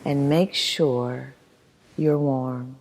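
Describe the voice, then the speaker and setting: A woman leading a guided meditation as instructor, reading in a soothing, measured manner with long pauses. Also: a bass voice, steady tone, darkish tone, slight background noise. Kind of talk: guided meditation, scripted narration. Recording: long hall-like reverb.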